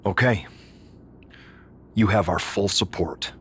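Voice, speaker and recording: A man's voice: deep voice, slow, a bit bright, slight background noise. A man playing a serious, supportive wrestling mentor in a video game cutscene. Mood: encouraging.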